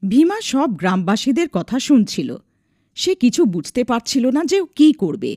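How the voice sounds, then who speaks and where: A female storyteller reads a scripted folk tale for a cartoon. Her voice is fairly high and very lively in intonation, with a close, dry sound. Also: normal speed, no echo. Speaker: storyteller, narrator.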